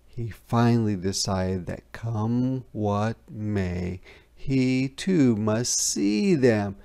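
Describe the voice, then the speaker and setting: A storybook reader giving an expressive, deliberate, dramatic read-aloud narration for children. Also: medium pitch, vocal fry.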